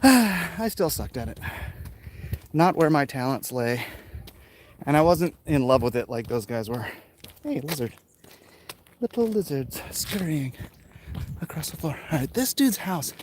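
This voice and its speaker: A middle-aged American man rambling casually to viewers, a little out of breath as he walks outdoors, offhand and self-deprecating. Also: fairly high pitch. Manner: offhand, self-deprecating, talking while exerting himself. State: winded from walking uphill. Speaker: vlogger, artist. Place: outdoors, walking hilly residential streets. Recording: noisy outdoor walking recording with clicks.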